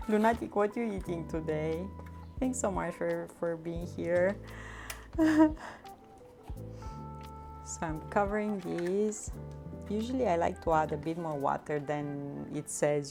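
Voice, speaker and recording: Slow-talking chatty woman, music behind. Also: fairly low pitch. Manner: chatty, laughs often, reads chat aloud. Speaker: vlogger cooking and chatting with live chat. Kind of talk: casual livestream chat. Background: soft background music.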